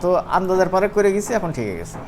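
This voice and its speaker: A man with a regional Bangladeshi Bengali accent giving an informal software tutorial, conversational and often checking that his students follow. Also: high voice, sing-song, racing, faint music behind. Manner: conversational, often asks check-back questions. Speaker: animation course instructor. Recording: noisy room, clearly audible background noise.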